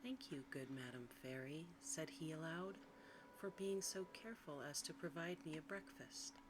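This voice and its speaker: A woman reads a bedtime story in ASMR style, in a soft, breathy, hushed and soothing whisper. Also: deep voice, normal speed. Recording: clearly audible background noise.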